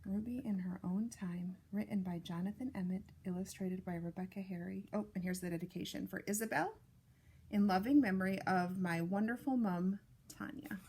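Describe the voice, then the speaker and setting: A woman reading a picture book aloud to young children, with deliberate, expressive phrasing and casual asides. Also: medium pitch, a little hiss or hum. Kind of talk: read-aloud storytime narration with casual asides.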